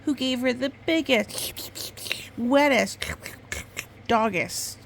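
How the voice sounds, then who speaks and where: A woman's voice: a little high, creaky, slight background noise. A woman reading a children's story aloud, storytime style, with chatty asides about the pictures. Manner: expressive, pauses to comment on the pictures. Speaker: storytime reader.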